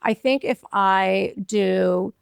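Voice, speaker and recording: A woman in her fifties with a raspy, gravelly voice, co-hosting a frank, casual chat from bed, blunt and laughing at herself. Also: mid-range voice, very slow, dry recording, clean studio sound. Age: 50s. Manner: blunt, laughing, self-teasing. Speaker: podcast co-host. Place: in bed at home.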